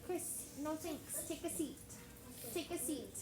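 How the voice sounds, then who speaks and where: A woman's voice: sits high, unhurried. A young woman teaching a class of children, reading a story aloud and asking the kids lots of questions in a chatty way. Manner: chatty, asks the kids many questions, heavy use of "like". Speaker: elementary school teacher. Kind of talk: classroom read-aloud lesson. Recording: echoey classroom with background noise.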